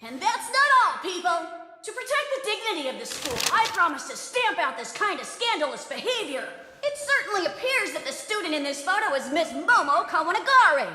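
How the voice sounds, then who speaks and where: A woman's voice: extremely high pitch, big pitch swings, brisk. A woman voicing a brash, scheming teenage schoolgirl politician in an anime dub, making smug, bombastic speeches. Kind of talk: anime English dub acting. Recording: echoey hall, clearly noisy.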